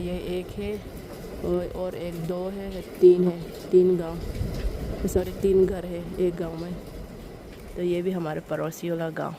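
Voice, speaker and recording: A woman's voice: fairly low pitch, noisy background. A young woman with a Northeast Indian accent chatting casually to viewers, explaining village life in a simple way. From Arunachal Pradesh, India. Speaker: village vlogger. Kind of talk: casual chatty vlog narration.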